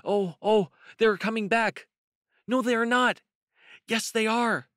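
A frightened young male rabbit from a storybook, acted by a man, very high and loud. He dithers in an excitable, wavering panic. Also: normal speed, no room sound, clean studio sound, lightly acted. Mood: panicky. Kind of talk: children's storybook read-aloud.

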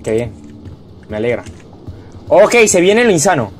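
A young man from Venezuela streaming live gameplay commentary, excitable and quick to repeat himself and yell when startled. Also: fairly high pitch, faint music behind. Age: young adult. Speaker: gaming streamer. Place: home room, indoors.

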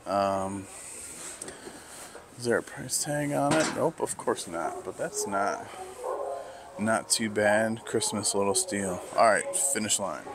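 A man vlogging casually as he browses sneaker stores in a mall, talking slowly and musing to himself with lots of fillers. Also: fairly low pitch, very noisy. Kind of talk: casual walk-through commentary.

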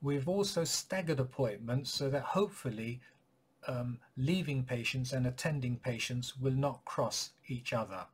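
A man in his forties speaks to camera as a clinic practitioner updating patients. His voice is mid-pitched and somewhat husky. He explains things in a measured, courteous way, recorded in a small indoor office. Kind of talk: informational announcement to camera.

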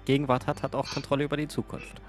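A man's voice: medium pitch, level tone, fast talker. A man co-hosting a live stream in casual banter. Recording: music behind the voice. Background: music.

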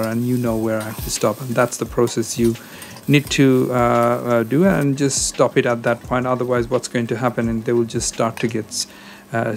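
A man's voice: medium pitch, vocal fry. A man narrating his cooking video in a casual, hesitant way, with many ums and uhs. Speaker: cooking channel host.

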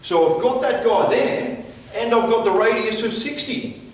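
A man's voice: very high voice, measured pace. A man explains a maths problem step by step as a teacher, informally, often checking in with short tag questions. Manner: informal, checking in with "right?" and "okay?". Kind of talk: explaining a worked problem at a whiteboard. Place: indoor classroom with whiteboard. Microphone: camera a few metres away. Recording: very echoey room, distant mic.

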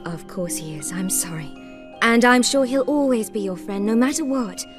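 Animated game mother played by a woman: soothing, playfully teasing, lilting range, music behind. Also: a little high, normal speed, natural voice. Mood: tender. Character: gentle, teasing young mother of small boy. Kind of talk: animated video game voice acting. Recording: background music under the voice.

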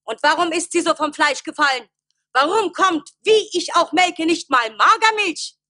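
An actress playing an angry, commanding village wife in a declamatory, reproachful radio play style. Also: female voice, very high-pitched, normal speed, bright, forceful tone, dry recording, clean studio sound. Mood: indignant. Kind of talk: stage-like radio play acting.

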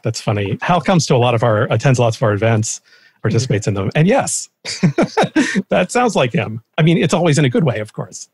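A man hosts a casual interview in a conversational way. His medium-pitched voice is gravelly with plenty of fry, and it is recorded close and dry. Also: sing-song, fairly quick, a little mellow, dry recording. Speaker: podcast host, interviewer.

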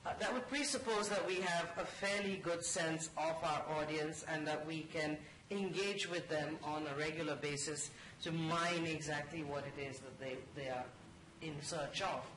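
A woman on a conference panel giving a measured, articulate answer into a handheld microphone. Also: low voice. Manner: articulate, measured, says she has a loud voice. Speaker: panelist from an international organization. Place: conference room, seated on stage. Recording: roomy hall with background noise.

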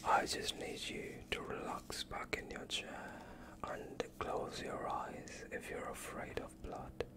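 A young man playing a sinister, soothing vampire doctor in a whispered ASMR roleplay, with a breathy, hushed, reassuring delivery close to the listener. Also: slow, lightly acted. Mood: calm, reassuring. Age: young adult. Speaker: ASMR performer.

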